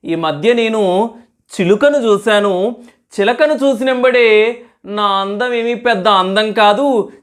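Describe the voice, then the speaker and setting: A beautiful storybook swan, voiced by a woman, modest and discontented. She explains herself in a measured, everyday voice at medium pitch. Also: natural voice.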